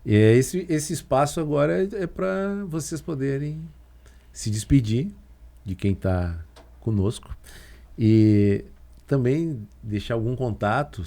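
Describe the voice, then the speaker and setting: Man, southern Brazilian accent, podcast host, mellow tone, relaxed and jokey. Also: lowish voice. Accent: southern Brazilian Portuguese. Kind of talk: podcast discussion.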